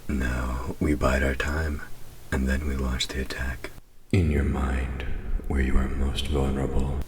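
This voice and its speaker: A man's voice: extremely low pitch, slight background noise. A man playing a taunting, predatory demon who trains a human hunter, in an audio roleplay spoken to the listener, teasing and menacing. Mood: smug menace. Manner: teasing, menacing, drops into whispers.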